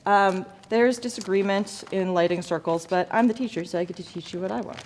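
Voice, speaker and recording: A woman's voice: medium pitch, a little fast. Woman teaching in an echoey, noisy hall. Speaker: lighting design teacher. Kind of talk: informal hands-on demonstration to students. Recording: echoey hall, noticeable background noise.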